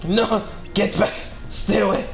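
A man's voice: high voice, flat delivery, unhurried, vocal fry, reverberant, faint music behind. A man voicing a big, theatrical bogeyman villain in a mock dub of a video game cutscene. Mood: alarmed. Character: big blustering male bogeyman boss, taunting then frightened.

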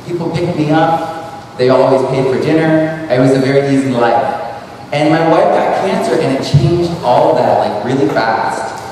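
A man's voice: mid-range voice, normal speed, darkish tone, a little hiss or hum. A man in his thirties from New England, giving a casual, rambling talk with a handheld mic to an audience in a large hall. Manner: rambling, restarts often, conversational and earnest. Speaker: speaker at an expo. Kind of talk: casual presentation. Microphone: handheld mic, far camera. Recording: echoey hall, voice from far off.

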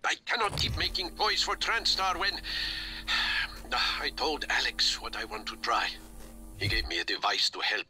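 Bright-voiced, troubled ageing male scientist. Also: medium pitch, measured pace, faint music behind. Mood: troubled, confessional. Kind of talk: game voice acting, recorded confession.

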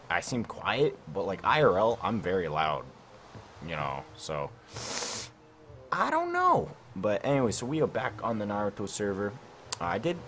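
Young man, deep voice, lively swinging intonation, rambling let's-play commentary, desk mic. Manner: rambling, self-questioning, lots of "like" and "I don't know". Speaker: gaming YouTuber. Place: bedroom at home, late at night.

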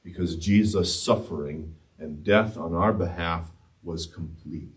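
Impassioned pastor, distant in a church. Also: male voice, low-pitched, a little slow. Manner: swings between loud impassioned rises and hushed quiet stretches; gestures widely while preaching standing before the altar. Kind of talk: sermon. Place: church sanctuary, mid-sized, indoors. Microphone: far camera, no handheld mic. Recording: speaker distant from the mic.

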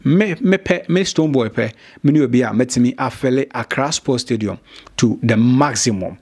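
A man's voice: medium pitch, moderate pace, a little mellow, husky. A man in his thirties with a Ghanaian accent, hosting a showbiz news video and talking straight to camera from a small studio, switching between Twi and English. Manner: mixes Twi and English, talks straight to viewers. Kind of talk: direct-to-camera commentary. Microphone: studio mic on stand.

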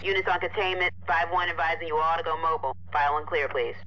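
A male American police dispatcher for a video game. He speaks in clipped procedural phrases with small hesitations, in a slightly high voice. The radio-filtered sound sits under clear engine noise. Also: flat delivery, fast talker, highs cut off. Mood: businesslike.

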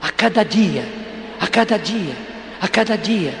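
A Brazilian man preaches in a deliberate way. His high voice carries long reverb, with faint background noise. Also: expressive. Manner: deliberate, repeats phrases. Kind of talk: preaching and testimony to listeners.

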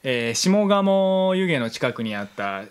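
A man's voice: on the high side, big pitch swings, unhurried, clear, resonant tone. A young adult man co-hosting internet radio with playful, chatty banter into a studio microphone. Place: small indoor home-style radio studio. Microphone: podcast microphone on a stand.

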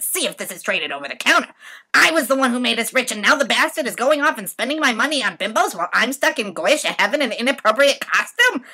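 A woman voicing a brash, defiant older woman with a gravelly voice, a dying talent agent in an audiobook. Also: medium pitch, pushed and bright, pitched above the performer's own voice, heavily acted. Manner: defiant, rattling on about deals. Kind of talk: audiobook narration.

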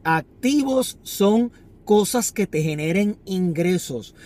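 A man with a fairly high voice speaks straight to a phone camera inside a parked car. He sounds earnest and instructive, repeating key phrases as he coaches on money. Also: lively intonation, slow, a little mellow. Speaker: finance vlogger. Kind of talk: motivational talk to camera. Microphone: phone camera on the dashboard.

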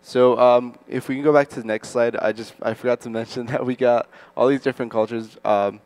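A man's voice: mid-range voice, fairly even intonation, brisk, a little mellow, no echo. A young man casually moderating a student panel on an auditorium stage, upbeat and full of fillers. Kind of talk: moderating a school chapel panel.

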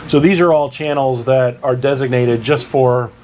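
A man's voice: mid-range voice, fairly even intonation, normal speed, darkish tone. Man giving an informal tutorial over background noise. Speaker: instructor presenting a boat radio guide. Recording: clear background ambient noise.

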